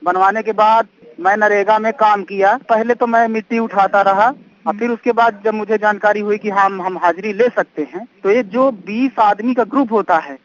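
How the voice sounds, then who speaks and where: A man's voice: high-pitched, steady tone, normal speed. A man from northern India, giving a first-person account as an interviewee. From Kaushambi, Uttar Pradesh, India. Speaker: interviewee telling his own experience. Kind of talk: spoken first-person testimony. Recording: dull, lo-fi radio-like recording.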